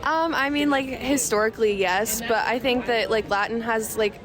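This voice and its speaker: A woman's voice: a little high, normal speed, a crowd in the background. A woman student chatting offhandedly as an interviewee. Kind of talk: casual chat.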